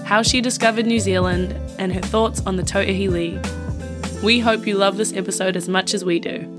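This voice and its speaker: A woman co-host opens a podcast episode with a read introduction, her voice at a middle pitch. Clear music plays underneath her. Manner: brief encouraging backchannels. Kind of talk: scripted podcast intro and casual chat.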